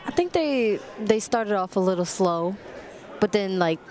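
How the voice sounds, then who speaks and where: A young woman, a college player, giving relaxed and giggly guest commentary on a basketball game, with a lot of "like". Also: mid-range voice, unhurried. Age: young adult. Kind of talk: casual commentary. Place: college gymnasium at a live game. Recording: crowd noise in a gym.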